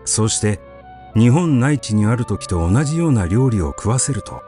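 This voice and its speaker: A man reading a literary short story aloud as an audiobook narrator, with measured, expressive storytelling. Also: on the low side, faint music behind.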